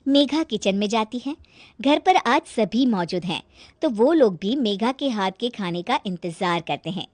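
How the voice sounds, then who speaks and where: A woman telling a moral story for a cartoon in clear, expressive storybook narration. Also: fairly high pitch, a little slow, no echo.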